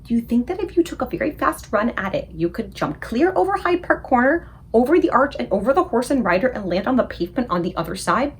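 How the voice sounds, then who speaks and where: A woman voicing a small, excitable, cautious girl from a children's storybook, urgent and often hushed. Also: a little high, fast, hardly any pauses, slightly rough, exaggerated voice. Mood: urgent, anxious. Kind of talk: children's book character voice.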